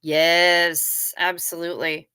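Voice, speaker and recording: Woman, fifties, livestream host. Bright, dry close-mic voice, wry and chatty. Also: fairly low pitch, flat delivery, slow, smooth, dry recording. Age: 50s. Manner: chatty, wry, swears casually. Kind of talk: casual livestream chat reading comments.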